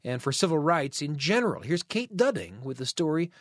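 A man's voice: a little high, very animated, brisk, dry recording. Man hosting a radio show, close dry sound. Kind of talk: hosted talk and story introductions.

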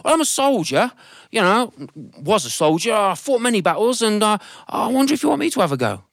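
A man's voice: high-pitched, big pitch swings, fairly quick, creaky, fairly bright tone, dry recording, studio quality, pitched above the performer's own voice, heavily acted. A man voicing a courteous former soldier with a hesitant manner in a told story. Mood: polite, confident. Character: polite former soldier, confident then flustered.

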